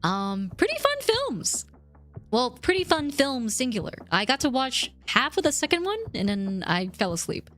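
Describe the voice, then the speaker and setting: A woman streamer chatting casually and thanking viewers, her voice slightly rough from a recent cough. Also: fairly high pitch, sing-song, normal speed, fairly bright tone, faint music behind. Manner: chatty, rambling, reads chat and thanks subscribers. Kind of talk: casual livestream chat.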